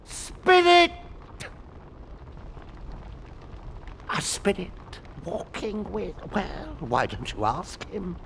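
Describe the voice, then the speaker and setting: A man's voice: high-pitched, huge pitch swings, slow pace, creaky, fairly bright tone, slight background noise, exaggerated voice. A man playing a brooding, menacing guardian of sacred burial grounds in a video game, given to muttering and chanting. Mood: wary, menacing. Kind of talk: video game cutscene acting.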